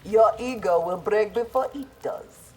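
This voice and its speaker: An actress voicing a proud, guarded armored female ninja in a fighting game, speaking formally and in measured phrases. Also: mid-range voice, warm tone, a little hiss or hum, natural voice. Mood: stern, guarded. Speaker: video game voice actor. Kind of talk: scripted fighting game dialogue.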